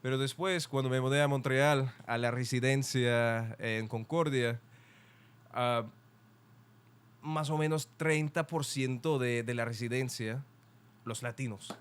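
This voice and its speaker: A young man from Ukraine with a Ukrainian accent, a podcast guest speaking Spanish in casual talk, pausing and restarting often as he searches for words and laughing now and then. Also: mid-range voice, fairly even intonation, clean tone. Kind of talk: casual interview.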